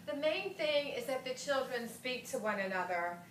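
A woman's voice: high voice, expressive, moderate pace, a little hiss or hum. An actress playing a polite, easily embarrassed middle-aged mother on a theater stage. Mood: conciliatory. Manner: polite, flustered. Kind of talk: stage play acting. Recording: roomy stage sound.

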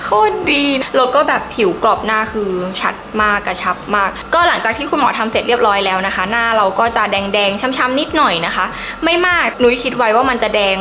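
Bright, chatty young woman vlogger. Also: on the high side. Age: young adult. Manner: chatty, enthusiastic, emphatic. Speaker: beauty vlogger. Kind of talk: casual review to camera.

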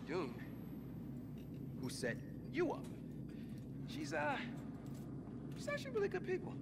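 American crook sidekick, video game, high voice, wildly animated, nervy, slangy, exasperated. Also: male voice, measured pace, creaky, very noisy, heavily acted. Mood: hushed, defensive. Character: jittery, wisecracking small-time criminal sidekick, adult man. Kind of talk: naturalistic video game acting.

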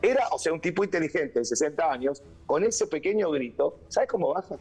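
An Argentine man speaks in a fairly high voice as a remote TV guest. He is colloquial and opinionated, with faint music behind him. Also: animated, fairly quick, darkish tone, husky. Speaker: guest panelist. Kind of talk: casual TV debate. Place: indoor room, remote video call. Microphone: video call.

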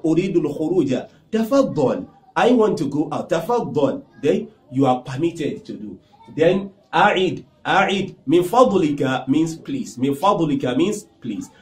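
A middle-aged Nigerian man with a Nigerian accent teaching Arabic phrases to camera, repeating each phrase in a lively way. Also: on the high side, roomy sound. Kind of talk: language lesson to camera. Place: small indoor studio with whiteboard.

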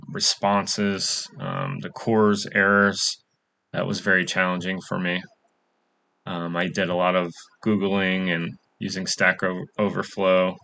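Young male student demoing his app; low, gravelly, bright tone, casual with fillers. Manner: casual, many "um" fillers, plain walkthrough. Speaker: coding bootcamp student presenting a project. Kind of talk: screen-recorded project demo.

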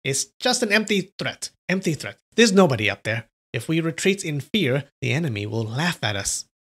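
A man's voice: a little high, sing-song, normal speed, a bit bright, breathy edge, exaggerated voice. A man voicing a stern, suspicious female martial nun who talks in curt, commanding tones. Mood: scornful.

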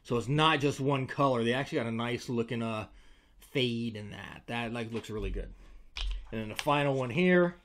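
Man, forties, American accent, mid-pitched voice, informal conversational delivery, casual review host, indoor desk mic. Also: normal speed, fairly bright tone, slight background noise. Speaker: host, fishing lure reviewer. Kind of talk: casual product review to camera. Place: small indoor room with tackle-covered walls. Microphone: desk microphone beside him.

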